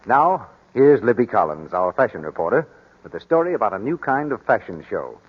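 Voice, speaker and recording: A man's voice: fairly low pitch, very animated, brisk, slightly rough. A man working as a 1940s radio announcer, delivering scripted introductions and sponsor chat. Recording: muffled 1940s radio broadcast.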